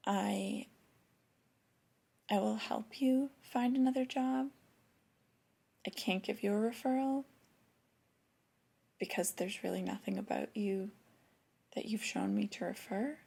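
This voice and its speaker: Woman acting flirty lady boss, asmr roleplay, super breathy half whisper, crackly fry, gentle and disapproving. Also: medium pitch, slow pace, long pauses, exaggerated voice. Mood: coy, apologetic. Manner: gentle, hesitant, intimate delivery. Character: flirty female boss, disapproving yet coaxing. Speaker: ASMR performer. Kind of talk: soft-spoken ASMR roleplay.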